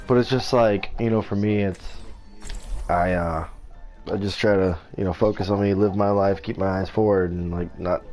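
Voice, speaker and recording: A man from the United States chats over voice chat during a team game. His voice sits a little low, and his talk is halting and casual, full of restarts. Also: a little mellow. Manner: halting, many restarts and fillers. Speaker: streamer playing an online team game. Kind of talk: casual voice chat while gaming.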